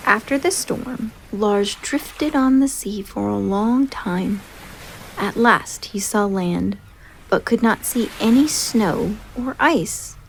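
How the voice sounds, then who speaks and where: A woman narrates a children's storybook expressively at a middling pitch. There is clear background noise behind her, like chirping insects. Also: takes it slowly, rough recording. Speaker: storybook reader.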